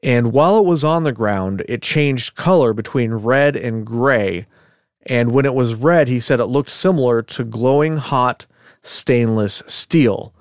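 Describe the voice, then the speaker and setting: A man co-hosting a podcast, retelling a story in a chatty, relaxed way with fillers and asides. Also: medium pitch, unhurried, no room sound, clean studio sound. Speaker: podcast co-host. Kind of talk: casual storytelling of a case.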